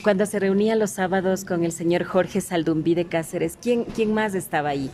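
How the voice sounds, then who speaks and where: A woman's voice: lowish voice, moderate pace, noisy background. A woman asking formal, respectful interview questions on location. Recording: location recording with crowd murmur.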